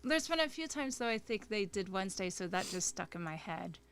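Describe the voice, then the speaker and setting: A woman's voice: mid-range voice, big pitch swings, fairly quick, fairly bright tone, clean tone. A woman co-hosting a casual livestream, chatting and reading out viewer comments.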